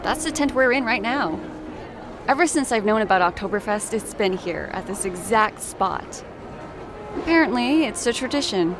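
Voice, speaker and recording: A woman's voice: mid-range voice, lively intonation, moderate pace, background noise, natural voice. A woman voicing a playful, knowing psychology student and history buff in a video game, with a wry, teasing manner. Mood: wry, informative. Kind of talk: video game character dialogue.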